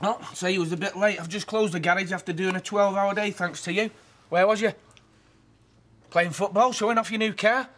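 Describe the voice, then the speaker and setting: Furious, sarcastic garage owner, high and shouty. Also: male voice, fairly even intonation, a little fast. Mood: angry. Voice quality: angry, sarcastic ranting. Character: exasperated male garage owner, older brother.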